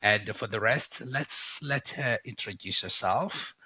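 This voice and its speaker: Host: South African man, low bright voice, dry home sound, chatty with lots of fillers. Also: takes it slowly, slightly rough, dry recording, muffled recording. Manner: chatty, many fillers and restarts. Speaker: podcast host. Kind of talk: casual video-call interview. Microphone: video call.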